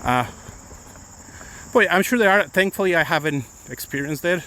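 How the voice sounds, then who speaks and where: A man's voice: on the high side, animated, normal speed, sharp tone, a little hiss or hum, rough recording. A middle-aged Mexican-born man with a Mexican accent, chatting loosely on a live stream, rambling with many restarts as he walks outdoors. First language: Spanish. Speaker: attorney vlogger. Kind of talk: casual live-stream chat. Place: outdoors on a morning walk.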